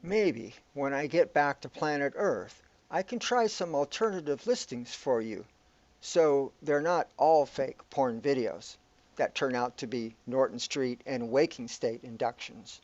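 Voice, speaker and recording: A man's voice: medium pitch, a little slow, a little mellow, lightly acted. A man playing a bewildered, aggrieved man trapped in an absurd afterlife, complaining wordily in amateur sci-fi audio comedy style. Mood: resigned. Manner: complaining, wordy. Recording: echoey room, dull lo-fi sound.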